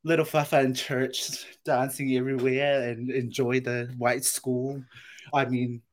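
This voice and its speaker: Bubbly Samoan-raised actress guest. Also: female voice, a bass voice, level tone. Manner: bubbly, playful, quick to laugh. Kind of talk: interview chat.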